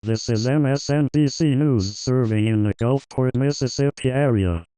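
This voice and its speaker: Mellow, smooth male news anchor. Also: medium pitch, normal speed, no room sound. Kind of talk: scripted fictional news bulletin.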